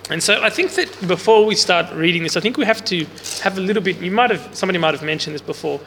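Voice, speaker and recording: A man with a loud, bright, slightly high voice teaches a Bible class in a big room, with no microphone. He explains casually and keeps putting questions to the group. The recording carries a long hall reverb and a faint hiss underneath. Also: animated, fast. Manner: loud voice, speaks without a mic. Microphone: no mic on the speaker, recorder in the room.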